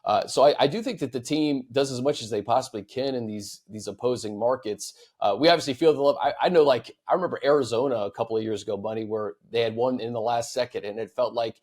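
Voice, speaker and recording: A man's voice: mid-range voice, fast, hardly any pauses, darkish tone. An American man hosting a sports talk show in a casual, easygoing, conversational style. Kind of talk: casual sports talk, reading fan questions.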